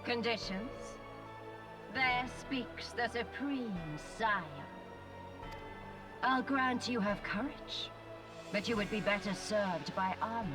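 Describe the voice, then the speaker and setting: A cruel female military commander taunts with haughty menace. She speaks slowly and sounds somewhat distant, with loud music under her fantasy game lines. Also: a little high. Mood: mocking. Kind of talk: scripted fantasy game dialogue. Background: orchestral game music.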